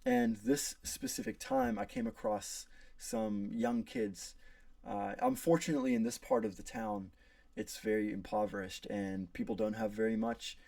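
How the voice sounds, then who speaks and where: An earnest young man from South Africa with an American accent gives a prepared class speech, pausing on fillers and restarting phrases. His low, gravelly voice rises and falls widely. He stands back from the mic in a dry room. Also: lively intonation, a little mellow, no room sound, distant mic. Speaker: student.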